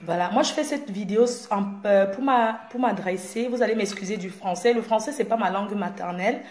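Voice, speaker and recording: A woman's voice: medium pitch, a little fast, a little mellow. Boastful Cameroonian woman in an echoey room. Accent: Cameroonian French. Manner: boastful, confrontational, mocking. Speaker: blogger. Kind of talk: direct-to-camera rant.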